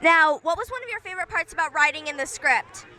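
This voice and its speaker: A woman's voice: extremely high pitch, lively intonation, quick pace, pushed and bright, a little hiss or hum. A teenage girl interviewing filmmakers as a kid film critic, enthusiastic and full of gushing praise. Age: teens. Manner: enthusiastic, gushing, filler-heavy. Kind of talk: red-carpet interview.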